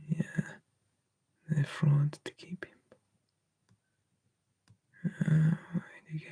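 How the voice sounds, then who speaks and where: An ASMR streamer, softly whispering and musing while answering live chat on a close mic. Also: medium pitch, gravelly, husky. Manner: soft, drifting between whisper and quiet voice, musing.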